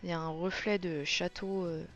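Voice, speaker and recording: A woman's voice: lowish voice, fairly even intonation, normal speed. A woman giving casual, enthusiastic live commentary, exclaiming in awe as she reviews something. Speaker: host of a colouring book flip-through. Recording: muffled sound with music underneath. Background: music.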